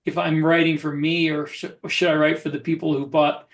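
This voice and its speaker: A man with a fairly high voice talks casually as a podcast co-host. He feels his way through his thoughts, reflective and a little hesitant. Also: little pitch movement, fairly quick. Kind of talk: casual discussion.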